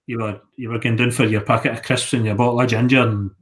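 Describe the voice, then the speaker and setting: A man's voice: mid-range voice, flat delivery, fast. A Scottish man chatting casually as co-host of a chat show.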